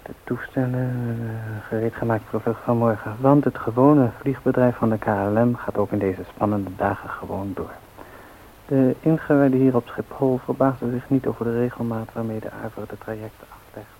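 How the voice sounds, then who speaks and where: A man acting a musing, measured reporter on night watch in a radio drama. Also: medium pitch, steady tone, narrow, tinny audio, natural voice. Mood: musing, calm. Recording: faint hiss on old radio recording.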